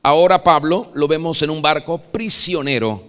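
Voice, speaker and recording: Bright-voiced Venezuelan preacher in a hall. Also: male voice, fairly high pitch, moderate pace, clean tone. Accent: Venezuelan Spanish. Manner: dramatic preaching, swells loud then drops soft. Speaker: pastor. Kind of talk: sermon. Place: church sanctuary. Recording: long reverb of a church hall.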